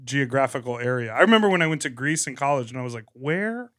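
Animated man hosting, dry close sound. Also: mid-range voice, fast talker, no room sound. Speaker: podcast co-host. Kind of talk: casual comedic podcast banter.

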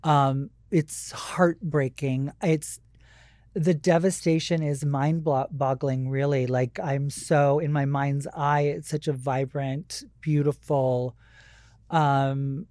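A woman from Maui, a talk show guest, speaking earnestly with halting pauses and fillers. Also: low voice, fairly even intonation, warm tone, dry recording, clean studio sound. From Maui, Hawaii. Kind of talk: casual chat.

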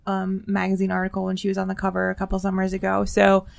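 Female podcast co-host, informal and enthusiastic book chat, medium-pitched voice, repeats herself for emphasis. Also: completely flat delivery, fast, gravelly.

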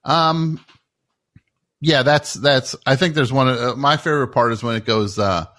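A man's voice: mid-range voice, measured pace, fairly bright tone. A man hosting a comedic call-in radio show, teasing callers and repeating words when incredulous.

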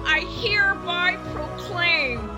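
A woman's voice: extremely high pitch, lively intonation, takes it slowly, muffled recording, exaggerated voice. A woman playing a brazen, self-absorbed diva pop goddess in a campy comic radio play, theatrical and blasé. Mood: grandiose. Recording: music under the voice.

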